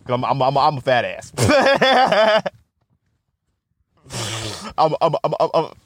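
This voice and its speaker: A man's voice: medium pitch, big pitch swings, very slow, a bit bright, husky, no echo. A young man hosting a podcast, rambling casually with lots of laughter and swearing, and a stutter. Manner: laughing, profane, self-mocking. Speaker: podcast host.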